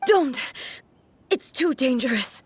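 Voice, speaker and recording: Stoic game warrior queen, formal, restrained, slow, expressive pitch. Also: husky, dry recording, exaggerated voice. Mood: alarmed. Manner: formal, measured. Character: dignified, weary warrior ruler woman, stoic and restrained. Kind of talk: video game voice acting. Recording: music behind the voice.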